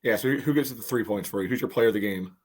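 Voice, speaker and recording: Lower-voiced man in casual podcast chat. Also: fast talker. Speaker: soccer fan podcast co-host.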